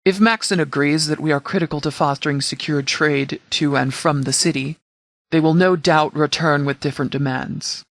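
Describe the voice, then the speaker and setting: A man playing a composed, pragmatic military commander in a video game, speaking in a formal, measured, tactical way. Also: fairly high pitch, steady tone, fairly bright tone, no echo. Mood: composed, authoritative. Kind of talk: video game dialogue acting.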